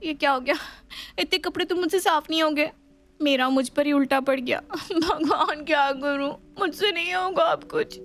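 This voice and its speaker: A woman's voice: sits high, moderate pace, fairly bright tone, pitched above the performer's own voice. A woman voicing a lazy, scheming young daughter-in-law who whines and pleads in a family story. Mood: dismayed, whining. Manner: whining, pleading.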